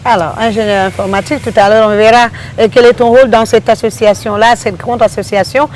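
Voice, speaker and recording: A woman's voice: fairly high pitch, steady tone, fairly quick, darkish tone, a little hiss or hum, poor audio. Woman hosting, many fillers. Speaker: radio show host. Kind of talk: hosted panel interview.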